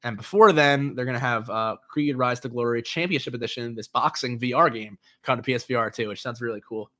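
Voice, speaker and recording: A young man talks fast and loosely through gaming news as a show host, piling up fillers and restarts. He sounds stuffy and nasal from a cold, and his pitch sits in a middle range. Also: a bit bright. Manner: rambling, lots of fillers and restarts. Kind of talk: casual solo commentary.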